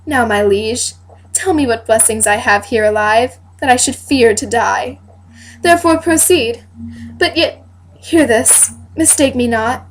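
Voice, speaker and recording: A young woman playing a wronged, dignified queen who defends her honour in deliberate, declamatory Shakespearean verse. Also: on the high side, natural voice. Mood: wounded, defiant. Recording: noisy amateur home recording.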